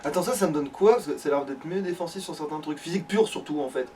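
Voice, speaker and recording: A man's voice: on the high side, fast, warm tone. A man playing a video game and chatting casually about it, with crude, slangy language and swearing. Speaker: let's play gamer. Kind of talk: casual gaming chat. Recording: distant mic with faint background noise.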